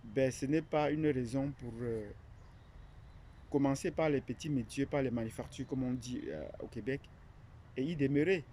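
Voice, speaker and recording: A man from Cameroon speaks in a mellow, rather dark voice, unscripted and searching for words. He pauses often, fills gaps and restarts his sentences. A faint hiss sits under the recording. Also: mid-range voice. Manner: hesitant, many fillers and restarts. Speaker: academic presenting his platform. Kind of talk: unscripted monologue.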